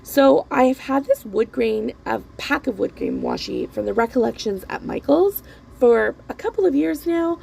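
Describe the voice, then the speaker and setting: A woman in her thirties with a croaky voice, recovering from laryngitis, chatting casually in a rambling, self-deprecating voiceover at home. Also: on the high side, very animated, moderate pace, darkish tone. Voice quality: croaky, gravelly voice. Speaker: planner vlogger. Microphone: voiceover mic.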